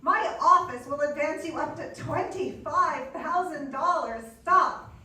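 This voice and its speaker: A woman's voice: high voice, measured pace, bright, forceful tone. A woman playing a devoted, tender wife and mother in a live stage radio play. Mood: earnest. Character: Mary, devoted, warm-hearted wife and mother. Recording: noisy, distant, echoey dance hall.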